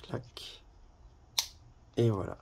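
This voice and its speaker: A man presenting a product in a casual hands-on demonstration, talking haltingly with pauses as he handles things. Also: noisy background. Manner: halting, pausing while handling items. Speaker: video presenter reviewing a product.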